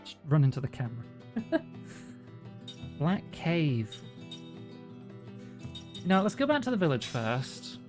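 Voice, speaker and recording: A man's voice: fairly high pitch, huge pitch swings, takes it slowly, long pauses. A man giving casual, joking commentary while he plays a video game and thinks aloud. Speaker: streamer. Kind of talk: casual let's-play commentary. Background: game music.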